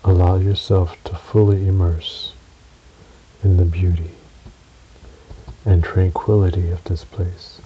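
Very deep, slow male meditation voice. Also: level tone. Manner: soothing, measured, with long pauses between short phrases. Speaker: guided meditation narrator. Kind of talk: guided relaxation meditation. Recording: dull recording with audible hiss.

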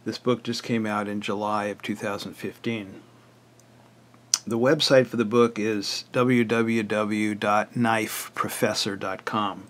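Hoarse raspy older guy, lower voice, clears his throat a lot, goes through book contents matter-of-fact. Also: level tone, unhurried, fairly bright tone. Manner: plain, matter-of-fact, list-like explanation. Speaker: author presenting his book. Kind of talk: casual book walkthrough.

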